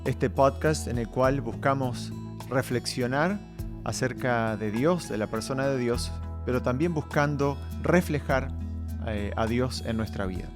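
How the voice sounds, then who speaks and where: A man hosting a conversational podcast, speaking in a measured, reflective way with pauses as he addresses his guest. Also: mid-range voice, music in the background. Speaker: podcast host.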